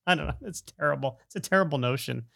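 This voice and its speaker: A man's voice: a little high, fast, gravelly, sharp tone. A middle-aged man hosting a podcast, rambling and joking, often laughing and mocking himself. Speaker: podcast host. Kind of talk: casual rambling intro.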